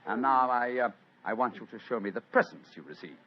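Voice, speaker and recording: An actor playing a brisk, brilliant gentleman detective in an old radio play, crisp and commanding, on a dull lo-fi recording. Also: mid-range voice, slight background noise, close to an everyday voice. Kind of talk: 1940s radio acting.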